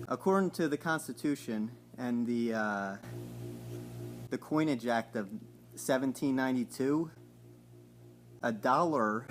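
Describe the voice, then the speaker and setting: A man in his forties at home, asking slow, hesitant, mock-innocent questions on a recorded phone call. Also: medium pitch. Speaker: caller. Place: home living room by a window.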